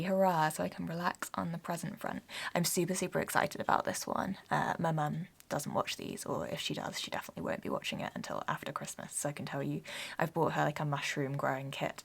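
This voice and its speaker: Low, gravelly-voiced young woman. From England. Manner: chatty, gentle, sometimes dropping to a soft whisper. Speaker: vlogger. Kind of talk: casual vlog chat. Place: home, sitting by a Christmas tree.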